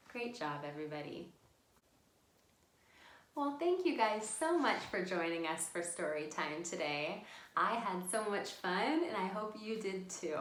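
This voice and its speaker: A woman's voice: medium pitch, a little hiss or hum. A woman hosting a children's storytime as a librarian, speaking in simple, encouraging, sing-song phrases addressed straight to young listeners. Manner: animated, sing-song delivery. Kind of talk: children's storytime with read-aloud, songs and rhymes. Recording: roomy, echoing indoor sound.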